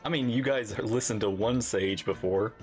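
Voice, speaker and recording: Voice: medium pitch, moderate pace, a little mellow, faint music behind. A woman giving casual reaction commentary over a video game, with joking, playful asides. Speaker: video host.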